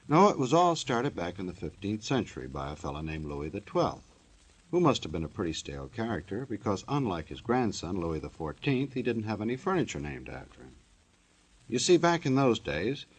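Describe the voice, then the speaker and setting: An actor playing a wry, joking storyteller who narrates a 1950s radio drama in a conversational way. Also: male voice, sits low, breathy edge, full character voice.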